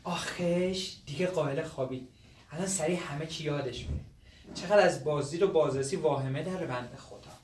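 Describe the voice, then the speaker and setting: A man acting a young caregiver in a drama, playful and patient, with an animated, teasing manner. He is recorded at a distance in an echoey room with faint noise. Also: on the high side, natural voice. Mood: calm, earnest. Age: young adult.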